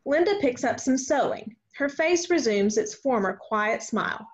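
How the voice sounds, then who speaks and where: High-voiced woman reading stage directions. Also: animated, moderate pace. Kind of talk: scripted narration. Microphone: video call. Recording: dull video-call audio.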